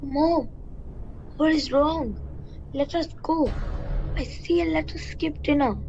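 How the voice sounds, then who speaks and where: Woman playing high-voiced, anxious, suspicious child. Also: takes it slowly, darkish tone, a little hiss or hum. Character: frightened, suspicious child pleading with mother. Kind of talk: student radio play acting. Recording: slightly dull, lo-fi recording.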